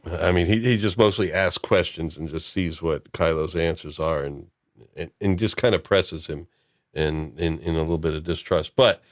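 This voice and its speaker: A man hosting a casual discussion, recapping in a halting, loosely improvised way. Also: low-pitched, dry recording, studio quality. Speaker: host of a discussion show.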